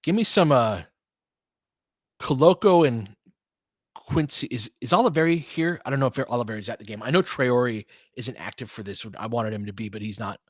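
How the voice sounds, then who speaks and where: A man's voice: medium pitch, normal speed, dry recording. Casual man calling basketball, very dry sound. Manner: conversational, opinionated, coaching players aloud. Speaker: fan play-by-play commentator. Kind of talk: basketball play-by-play with casual analysis.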